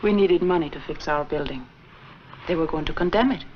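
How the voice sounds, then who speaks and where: An actress playing an earnest, gently formal nun who runs a school, in a 1940s film. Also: female voice, sits low, brisk, a little hiss or hum, natural voice. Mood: fond. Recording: dull lo-fi 1940s film soundtrack.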